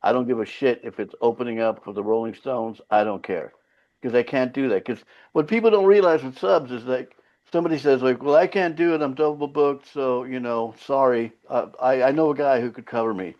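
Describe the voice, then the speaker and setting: A Finnish-born singer whose first language is Finland Swedish, telling stories in a loose, profane way as an interview guest. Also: male voice, mid-range voice, brisk. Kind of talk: casual interview chat. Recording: slightly dull lo-fi sound.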